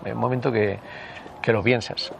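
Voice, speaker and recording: A man in his sixties with a northern Spanish accent, reminiscing conversationally in an interview, haltingly and with repeated words. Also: medium pitch, fairly even intonation, creaky, slight background noise. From Basque Country, Spain. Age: 60s.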